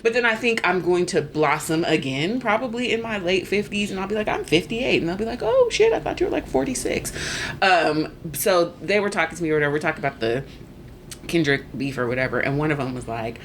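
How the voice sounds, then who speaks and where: Woman, 40s, low voice, animated podcast guest storyteller, light background noise. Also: fairly quick. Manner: animated storyteller, acts out voices, laughs often. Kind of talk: casual storytelling chat.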